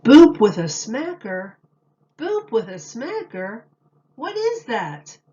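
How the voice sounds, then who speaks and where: A woman's voice: a little high, sing-song, slow pace, mellow, muffled recording, pitched above the performer's own voice. A woman voicing puzzled, eager storybook townspeople in an exclaiming, questioning way.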